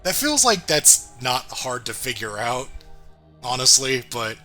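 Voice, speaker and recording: A man of average pitch with a strikingly bright, forward voice chats through a review. He sounds agreeable and thinks aloud haltingly as a co-host, over faint background music. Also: very animated. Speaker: co-host of a cartoon review show. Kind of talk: casual conversational review.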